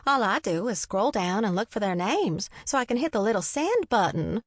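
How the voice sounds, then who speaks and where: A woman's voice: medium pitch, very animated, moderate pace, dry recording, voice pushed up. A woman reading aloud voices a talkative, motherly female hospital nurse with a folksy, kindly scolding manner. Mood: gossipy. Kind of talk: audiobook character voice.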